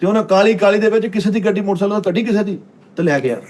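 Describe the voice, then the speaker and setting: A man's voice: high voice, racing, darkish tone. A man with a Pakistani Punjabi accent telling funny stories in casual chat as a panellist on a comedy show. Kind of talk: animated comic storytelling in casual chat.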